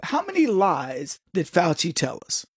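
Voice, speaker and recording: A man's voice: sits high, wildly swooping pitch, measured pace. A man hosting a talk radio show, giving opinionated commentary in a mocking tone with laughing asides and frequent restarts.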